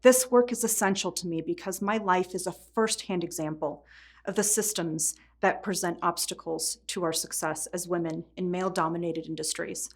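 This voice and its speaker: A woman's voice: lowish voice, steady tone, normal speed. A middle-aged woman from Poland, a native Polish speaker, giving an earnest prepared speech as a foundation board chair.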